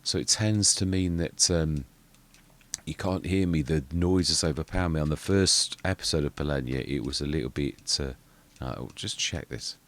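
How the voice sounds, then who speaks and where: A man's voice: very deep voice, normal speed, a little hiss or hum. A man, rambling through casual commentary on a farming game and often correcting himself mid-sentence. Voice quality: quiet voice. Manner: rambling, self-correcting, muttering asides. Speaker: gaming channel host.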